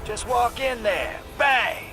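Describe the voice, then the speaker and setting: Streetwise rogue, lively swooping delivery. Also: male voice, very high-pitched, slow pace, music in the background, exaggerated voice. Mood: sly, teasing. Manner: folksy slang, clipped, teasing drawl. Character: shady, streetwise, sardonic male drifter rogue. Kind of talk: video game voice acting.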